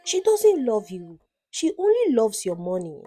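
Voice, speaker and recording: A woman's voice: on the high side, huge pitch swings, unhurried, mellow, slightly rough, heavily acted. Lady doing wise protective mom in a folktale, takes her time, light music under. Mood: stern warning. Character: wise, protective mother exposing a fraud.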